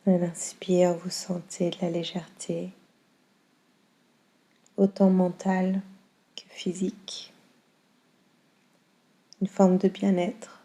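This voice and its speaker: A woman guiding a yoga nidra meditation in a calm, soothing, unhurried way with long pauses. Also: fairly low pitch. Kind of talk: slow guided meditation.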